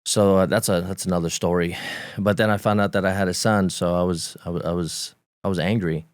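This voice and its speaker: A Romanian-born man in his forties with a Romanian accent, English his second language, telling his story as a guest on a talk show, halting and repeating words as he recalls. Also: low voice, fairly even intonation, smooth, no echo, studio quality. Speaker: podcast guest. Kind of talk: candid conversational storytelling.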